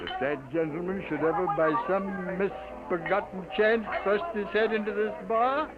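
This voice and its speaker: A man acting a weary, sardonic middle-aged newspaper editor with an American accent in a 1950s radio drama, gruff and wry. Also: high voice, normal speed, loud music behind the voice, lightly acted. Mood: bitterly sardonic. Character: weary, sardonic fifty-four-year-old newspaper editor. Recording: dull vintage 1950s radio recording.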